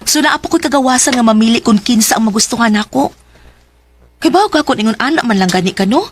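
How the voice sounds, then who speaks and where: A woman's voice: fairly high pitch, expressive, fast talker, a little hiss or hum, natural voice. An actress with a Cebuano Filipino accent playing a guarded, independent young woman being courted in a radio drama. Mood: annoyed, firm. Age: young adult.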